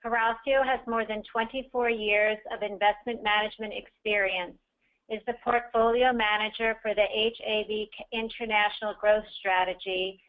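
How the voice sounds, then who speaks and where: A woman reading a formal, scripted introduction as moderator of a conference call, in a measured, carefully enunciated way. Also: mid-range voice. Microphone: conference call line. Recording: dull, lo-fi conference call audio.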